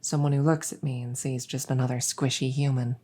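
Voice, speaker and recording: A woman playing a weary, dutiful young princess in an intimate audio roleplay, speaking in a halting, conversational way with a soft, husky voice. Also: rumbling low, flat delivery. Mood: wistful, longing. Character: young human princess, weary, dutiful, wistfully longing for freedom.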